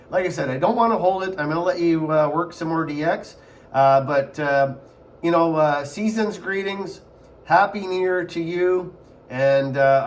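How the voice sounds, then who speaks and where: Man with a slightly high voice. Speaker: amateur radio operator. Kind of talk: casual ham radio contact.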